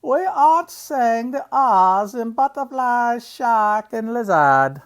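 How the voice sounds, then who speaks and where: A man's voice: very high voice, big pitch swings, takes it slowly, clean tone, voice pushed up, exaggerated voice. A man voicing a regal English queen, proclaiming grandly in a mock English accent.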